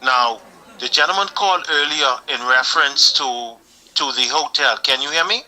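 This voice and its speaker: A man's voice: mid-range voice, moderate pace, bright, forceful tone, low-quality recording. A Bahamian man calling in to a talk show, arguing earnestly and building up heat as he goes. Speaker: caller. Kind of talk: call-in opinion.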